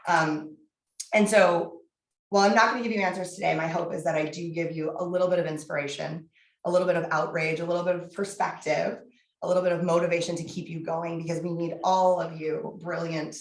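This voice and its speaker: A woman giving a candid, energetic talk as a guest speaker, her voice husky from laryngitis, with frequent fillers. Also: fairly low pitch, brisk, echoey room, low-quality recording. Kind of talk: public lecture.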